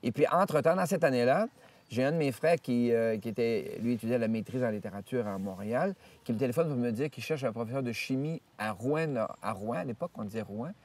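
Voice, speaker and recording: A man, a priest telling stories from his life in an interview, rambling with many hesitations and restarts and lively retelling of what others said. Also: medium pitch, fairly quick, far from the mic. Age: 70s. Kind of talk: storytelling interview. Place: outdoors in nature.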